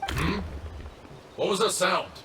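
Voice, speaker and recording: Echoey, distant gruff villain henchman. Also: high voice, sing-song, slow pace, heavily acted. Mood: alarmed, angry. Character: gruff enemy henchman soldier serving his lord. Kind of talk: video game voice acting. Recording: roomy, distant, faint background noise.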